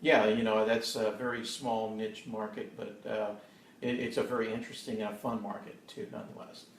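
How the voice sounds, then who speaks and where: A man's voice: fairly low pitch, flat delivery, moderate pace, creaky. A man from Texas with a jokey, folksy manner, asking questions as a guest interviewer. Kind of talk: casual interview. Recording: roomy live stream from a distance, faint noise.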